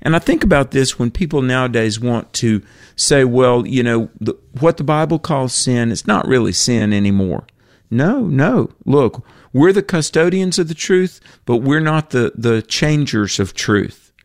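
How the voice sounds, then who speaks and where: A man in a conversational faith discussion on a radio show, speaking earnestly at a middle pitch. He quotes verses and restarts his sentences often. The sound is dry and close, with no room around it. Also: lively intonation, measured pace, no echo. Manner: earnest, quotes scripture, frequent fillers and restarts.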